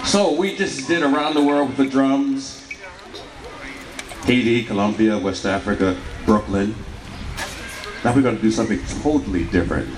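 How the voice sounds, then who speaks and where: A man's voice: mid-range voice, roomy sound, background noise. A man emceeing an outdoor folk festival stage, announcing acts with joking banter.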